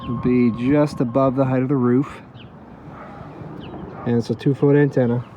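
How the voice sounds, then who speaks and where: A man's voice: medium pitch, flat delivery, normal speed, lo-fi sound, slight background noise. A man giving a casual hands-on tutorial, thinking aloud informally as he works as a DIY repair host.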